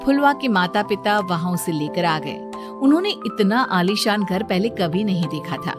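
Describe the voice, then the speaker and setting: A woman's voice: mid-range voice, animated, moderate pace. A woman storyteller reading scripted narration of an emotional family story. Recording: music behind the voice.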